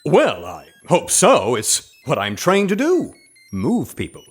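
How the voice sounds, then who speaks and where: A man's voice: fairly high pitch, wildly swooping pitch, slow pace, breathy edge, faint music behind, full character voice. A man playing a self-important actor turned undercover spy in a comedy audio drama, speaking in a grandiose, earnest way. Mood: warm, flourishing.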